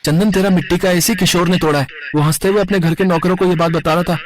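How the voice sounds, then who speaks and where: A man voicing a loyal, outspoken young village friend in an animated moral story, with a chummy manner. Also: sits high, quick pace, close to an everyday voice. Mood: urgent.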